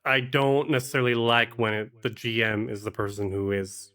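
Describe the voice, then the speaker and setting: A man talking casually as an interview guest, explaining his work in halting phrases and often correcting himself. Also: medium pitch, little pitch movement, fairly bright tone. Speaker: podcast guest, actual-play game master and producer.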